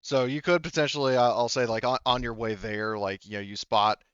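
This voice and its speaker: A man's voice: medium pitch, fairly even intonation, fairly quick, a bit bright, clear, resonant tone, dry recording, lo-fi sound. A man acting as game master in a tabletop roleplaying game, improvising descriptions and casual questions in a hesitant, conversational way.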